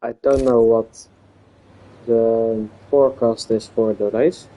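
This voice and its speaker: A Dutch-speaking man commentating a live-streamed online race league in English, halting and searching for words with many fillers. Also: medium pitch, steady tone, mellow, rough recording. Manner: calls himself a bit boring-voiced.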